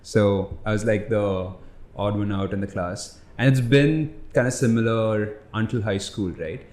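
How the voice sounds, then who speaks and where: A man's voice: on the low side, steady tone, measured pace, a little mellow. A young man who grew up in India, a startup founder guesting on a podcast, telling loose reflective stories with lots of "like" and "you know". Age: young adult. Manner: reflective, loose storytelling with many fillers. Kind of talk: casual podcast conversation. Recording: roomy echo.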